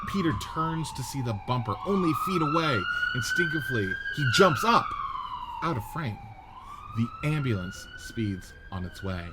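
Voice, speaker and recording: A man narrating the stage directions of a radio play script in a steady, descriptive reading. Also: fairly low pitch, a little hiss or hum.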